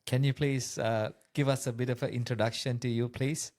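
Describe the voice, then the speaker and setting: A man's voice: medium pitch, steady tone, normal speed, darkish tone. A man co-hosting a podcast, asking for an introduction in a casual, slightly stumbling way. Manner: casual, stumbling over words. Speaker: podcast co-host. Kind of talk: interview questions.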